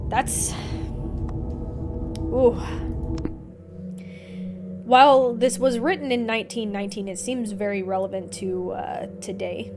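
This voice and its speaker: A woman game streamer, informal, commenting and reading on-screen text aloud, sometimes stumbling over difficult words. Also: mid-range voice. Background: game music.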